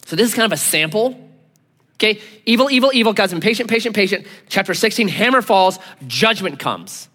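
A man preaching a sermon as a pastor, earnest and emphatic, dropping to low, intense tones for weight. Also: expressive, a little fast, pushed and bright. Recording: long hall reverb.

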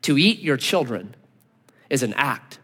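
A man preaching a sermon as a pastor, earnest and emphatic, dropping to low, intense tones for weight. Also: very animated, unhurried, fairly bright tone, slightly rough. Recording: long hall reverb.

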